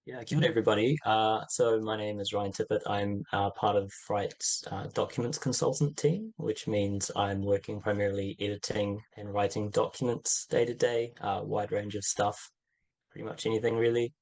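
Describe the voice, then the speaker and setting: Easygoing low-voiced New man. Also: steady tone, moderate pace, darkish tone, poor audio. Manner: easygoing, hesitant with fillers. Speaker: documents consultant. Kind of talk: casual self-introduction on a video call. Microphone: video call.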